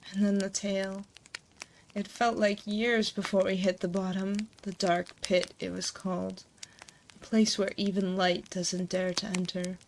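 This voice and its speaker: A woman playing an ancient succubus demon queen, motherly and gently teasing, in a hushed, soothing, intimate ASMR roleplay, close to a binaural mic. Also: medium pitch. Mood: wistful, solemn. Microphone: close binaural 3Dio microphone. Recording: close binaural mic, noticeable background noise.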